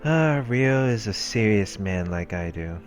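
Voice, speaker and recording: A man with a low voice reads visual novel narration aloud as a let's player, dropping in casual asides. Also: lively intonation, unhurried. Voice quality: low-pitched. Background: game music.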